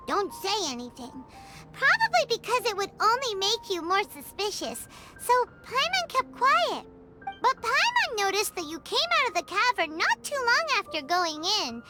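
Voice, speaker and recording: Game fairy companion: extremely high childlike voice, lively swings, chirpy and loyal. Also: female voice. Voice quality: squeaky, childlike. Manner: talks of self in third person. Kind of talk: animated video game voice acting.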